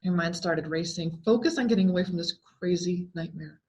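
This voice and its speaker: A woman reading a scary story aloud as a dramatic, expressive scripted narration full of suspense. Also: on the low side, fairly quick. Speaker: story reader.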